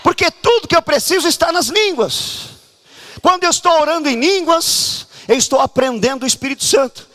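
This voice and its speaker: A man from Brazil preaching a fervent charismatic sermon at a church conference, often shouting and urging the congregation to act. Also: very high voice, expressive, moderate pace.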